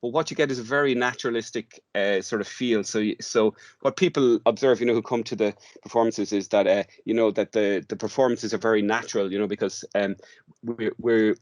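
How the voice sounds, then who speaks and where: A man's voice: on the low side, steady tone, fairly quick, dry recording, clean studio sound. A man explaining his work in an informal interview, often restarting and repeating words as he goes. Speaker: drama workshop facilitator, interview guest.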